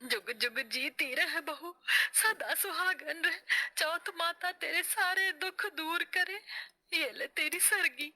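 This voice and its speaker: A woman's voice: very high voice, slow, pushed and bright, voice pushed up, full character voice. A woman voicing a doting, emotional older village mother-in-law in a dramatic cartoon story. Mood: tearful blessing.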